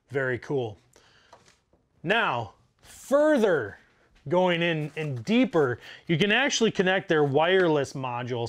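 A man in his forties, a plumber, casually talking through an installation step by step in a basement utility room. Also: on the high side. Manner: practical, walks through steps out loud. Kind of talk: casual how-to demonstration.

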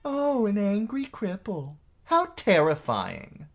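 A man plays a highborn woman from a storybook, proud and mocking. The voice is lifted above his own and swoops on taunting emphasis, full of bitter scorn. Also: lively intonation, measured pace, lightly acted. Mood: mocking, scornful.

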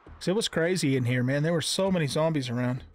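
A man's voice: fairly high pitch, fast. A middle-aged man giving casual gameplay commentary, thinking aloud in a conversational way. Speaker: gaming video host.

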